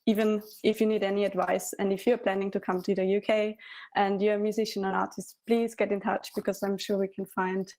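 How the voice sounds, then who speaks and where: Woman, flat delivery. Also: medium pitch, a little fast, rough recording. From Austria. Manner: friendly, hesitant, many ums. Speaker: cultural forum music officer. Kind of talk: conference introduction.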